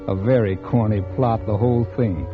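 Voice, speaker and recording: Actor as bitter, self-absorbed writer, vintage radio. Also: male voice, on the low side, measured pace, lightly acted. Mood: sardonic, brooding. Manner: weary, condescending, literary first-person narration. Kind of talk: 1940s radio acting, noir-like narration. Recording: dull vintage radio, loud music.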